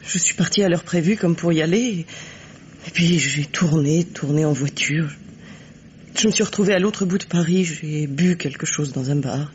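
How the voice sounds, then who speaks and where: An actress playing a wounded, resigned lover with a hesitant, tender, breathy delivery, in the theatrical style of a 1950s radio mystery. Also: female voice, low-pitched, moderate pace, darkish tone, close to an everyday voice. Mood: anxious, hesitant. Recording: dull, noisy old radio recording.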